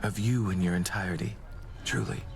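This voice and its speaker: Guy playing a low voiced gloomy doom god, super polite n formal, romantic game dialogue, some background noise. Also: fairly even intonation, lightly acted. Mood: tender, earnest. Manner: formal, courteous, measured. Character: courtly, melancholy male god of doom, gently devoted.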